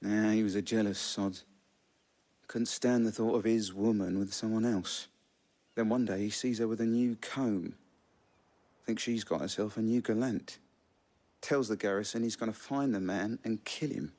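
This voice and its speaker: An actor playing a blunt, pragmatic soldier, wry and colloquial, in a video game cutscene. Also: male voice, on the low side, normal speed, darkish tone, close to an everyday voice.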